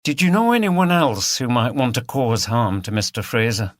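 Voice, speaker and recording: A man voicing a calm police woman sergeant asking polite, businesslike questions in an audiobook. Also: medium pitch, normal speed, dry recording, studio quality, lightly acted.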